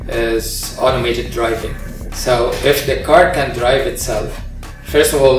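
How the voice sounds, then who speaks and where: Measured Egyptian-born man in a roomy hall. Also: medium pitch. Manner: measured, explanatory, many ums and uhs. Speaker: engineering professor. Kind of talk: interview about his research. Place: large open-plan control center. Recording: roomy, distant sound with music under it.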